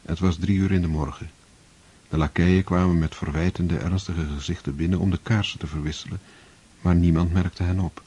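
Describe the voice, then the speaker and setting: A male narrator reading a literary story in a measured, scripted way. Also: sits low, steady tone, warm tone, slightly rough. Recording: dull lo-fi recording.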